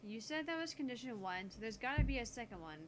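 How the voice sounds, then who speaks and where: A woman voicing a sharp-tongued, easily indignant young female genius scientist in a visual novel, curt and sarcastic. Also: fairly high pitch, expressive, fast, close to an everyday voice. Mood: wary. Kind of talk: visual novel character reading. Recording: noticeable background noise on home mic.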